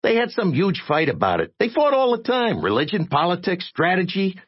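Audiobook role: tiny crusading reporter, squeaky and husky, slangy, excitable, wildly swooping. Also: male voice, moderate pace, highs cut off, full character voice. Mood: gossipy. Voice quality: squeaky, irritating little voice. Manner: streetwise slangy American talk. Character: tiny, eight-inch man, a frantic, streetwise crusading reporter.

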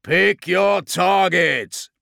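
A fierce military captain, acted by a male audiobook reader, barking clipped orders in battle. The voice is pushed well up in pitch and kept dry and close. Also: a little slow, gravelly, a bit bright, dry recording, full character voice. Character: fierce, commanding army tank captain in battle. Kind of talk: dramatic audiobook character acting.

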